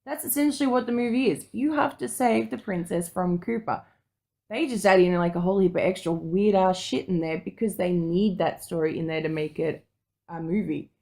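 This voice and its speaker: A woman's voice: fairly low pitch, brisk, creaky, mellow. A woman co-hosting a podcast, chatting casually in an enthusiastic, blunt and slangy way. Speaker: podcast co-host. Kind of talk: casual chat.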